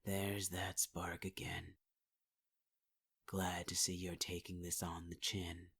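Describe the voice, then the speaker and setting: A man's voice: deep voice, monotone, slow pace, a bit bright. A man performing a menacing, taunting villain in an ASMR audio roleplay, often half-whispering. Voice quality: husky, half-whispered delivery.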